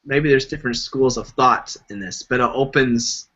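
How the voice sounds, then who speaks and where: A man's voice: mid-range voice, normal speed, sharp tone. A man hosting a casual discussion, framing questions hesitantly with many ums. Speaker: host. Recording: dull lo-fi live stream audio.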